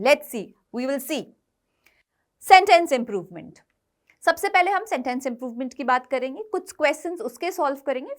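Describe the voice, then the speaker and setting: A high-voiced woman with an Indian accent gives a classroom-style English lesson. She explains point by point with firm emphasis, often repeating herself and checking that learners are following. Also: a bit bright. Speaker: English exam-prep teacher.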